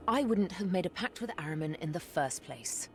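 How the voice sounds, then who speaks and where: A woman's voice: lowish voice, expressive, normal speed, slight background noise, lightly acted. An actress playing a stern, bitter warrior woman in a fantasy video game, speaking tersely and solemnly. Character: stern, bitter, determined warrior woman, former Republican soldier. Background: game music.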